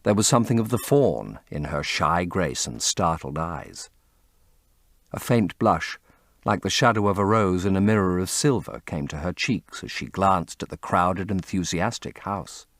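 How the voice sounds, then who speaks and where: A man reading literary fiction as an audiobook narrator, in an expressive, measured storytelling manner. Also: low voice, no echo, studio quality.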